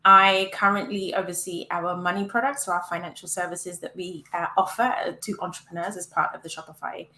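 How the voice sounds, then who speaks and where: A British woman from the UK introducing herself as a panelist on a video call, with relaxed, self-deprecating asides. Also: on the low side, a little fast, few pauses, roomy sound. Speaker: panelist, UX director.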